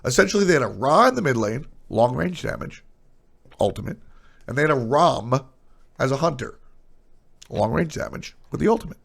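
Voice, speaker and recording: A man's voice: medium pitch, sing-song, moderate pace, gravelly, pauses often, fairly bright tone. A man with a stuffy, nasal voice streaming casual, blunt opinions on esports, trailing off into asides.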